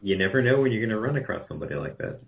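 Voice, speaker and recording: A man chatting casually as an interview guest, agreeable and somewhat halting in his speech. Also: fairly low pitch, lively intonation, vocal fry. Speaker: radio show guest, radio drama producer. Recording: narrow phone-line sound.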